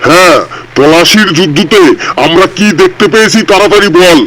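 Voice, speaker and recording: A man's voice: high-pitched, moderate pace, fairly bright tone, rough recording. A man playing an exasperated, sarcastic cartoon history teacher who scolds his pupils. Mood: demanding. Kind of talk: comedy cartoon dialogue.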